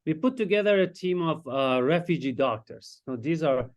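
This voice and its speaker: A man from Iran, a Farsi speaker, presenting his research as a psychiatrist in a lecture and pausing often to search for words. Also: on the high side, lively intonation. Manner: explanatory, searches for words with frequent hesitations.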